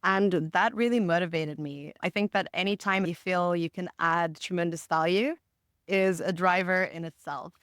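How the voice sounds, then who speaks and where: Clear-voiced woman, fairly low, measured. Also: fairly even intonation, a bit bright, no echo, clean studio sound. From Botswana. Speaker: podcast guest, marketing director. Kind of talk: reflective interview answers.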